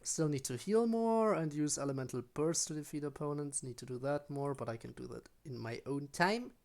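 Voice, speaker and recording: A man's voice: a little high, animated, fairly quick, darkish tone. A man streaming a video game, giving casual live commentary and thinking aloud as he plays. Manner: thinking aloud while playing.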